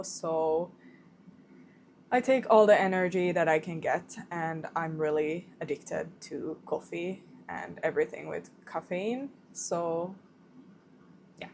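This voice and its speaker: Slow-talking young woman with Swedish accent. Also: lowish voice, a little mellow, a little hiss or hum. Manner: unhurried, rephrases herself often. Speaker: vlogger. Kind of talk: casual chat to camera. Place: home kitchen.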